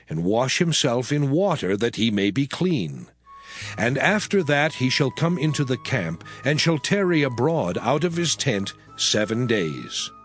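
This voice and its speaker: A man reading scripture aloud in a measured, solemn recitation. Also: medium pitch, no echo. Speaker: scripture reader. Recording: faint background music.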